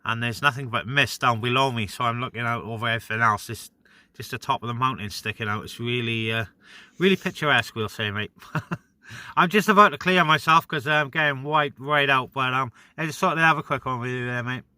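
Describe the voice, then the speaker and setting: A man's voice: mid-range voice, fairly quick, pushed and bright, no room sound. A man chatting casually and informally as a vlogger and CB radio operator. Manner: matey, informal. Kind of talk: casual radio chat and vlog talk. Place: outdoors on a mountain top.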